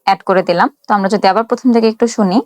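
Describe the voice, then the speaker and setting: A woman presenting a casual step-by-step app tutorial, explaining each action haltingly as she does it. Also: medium pitch, fairly even intonation, a little mellow.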